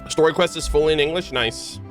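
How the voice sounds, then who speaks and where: A man's voice: a little high, fast, soft background music. A man live streaming a game, chatting informally and jokingly with his viewers in slangy language. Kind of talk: casual game streaming chat.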